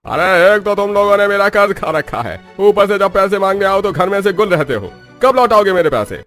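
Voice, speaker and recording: A man's voice: high-pitched, very animated, a little fast, fairly bright tone. A man playing a rude, grumpy street trader and moneylender in a cartoon story, with a brusque, scolding manner. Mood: angry, threatening. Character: grumpy, rude male vegetable seller and bullying moneylender. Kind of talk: Hindi cartoon story acting. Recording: music behind the voice.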